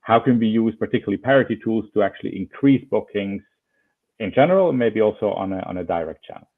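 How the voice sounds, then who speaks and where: A man from Switzerland moderating an online panel over a video call, relaxed and often repeating words while he finds his phrasing. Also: lowish voice, quick pace. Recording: tinny phone-like call audio.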